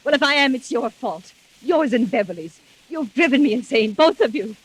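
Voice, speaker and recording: Crazed jealous wife in a 40s radio thriller, really high husky voice, melodramatic and menacing. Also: female voice, animated, normal speed. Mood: bitter, vengeful. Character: jealous, vengeful wife driven to madness. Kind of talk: 1940s radio mystery acting. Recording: old muffled 1940s radio recording.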